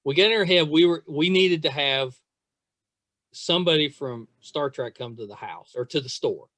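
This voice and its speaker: A middle-aged man hosting a podcast, chatting and telling stories in an excitable, effusive way, repeating phrases for emphasis. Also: fairly high pitch, normal speed, no echo. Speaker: podcast host interviewing a guest. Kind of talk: casual chat, storytelling.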